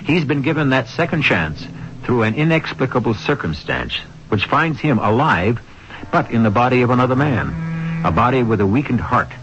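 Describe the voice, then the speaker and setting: Low, expressive male radio narrator. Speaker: host and narrator. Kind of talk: dramatic scripted radio narration. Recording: muffled, faintly noisy vintage broadcast.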